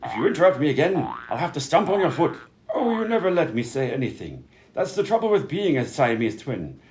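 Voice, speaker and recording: An actor voicing peevish, officious, bickering conjoined twins in a comedy radio play. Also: male voice, a little high, big pitch swings, brisk, a little mellow, slight background noise, rough recording. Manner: squabbling, peevish, swinging between two personas.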